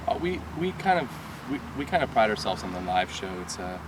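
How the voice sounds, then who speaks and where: A young man from Michigan with a lowish voice tells loose, rambling stories, laughing and restarting as he goes. He stands a little off from the mic, and loud street traffic roars around him outdoors. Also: very animated, a little fast, distant mic. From Michigan, USA. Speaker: rock band singer. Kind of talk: casual interview chat.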